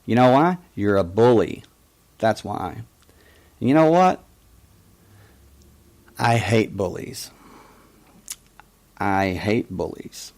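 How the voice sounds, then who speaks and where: A man in his fifties who stutters and stammers, hosting a call-in show in a casual monologue, often pausing to search for words. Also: on the low side, very animated, darkish tone. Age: 50s. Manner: many pauses and hesitations.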